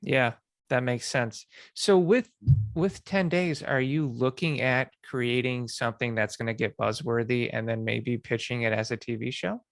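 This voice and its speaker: A man's voice: medium pitch, steady tone, measured pace, creaky, no room sound. A man hosting a casual podcast interview, asking questions in an affirming, encouraging way.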